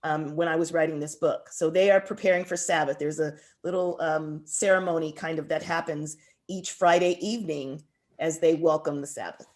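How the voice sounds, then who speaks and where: A woman speaking as a guest author, reflective and expressive, deliberately drawing out words for emphasis. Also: low voice. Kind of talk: reflective interview answers and poetry reading.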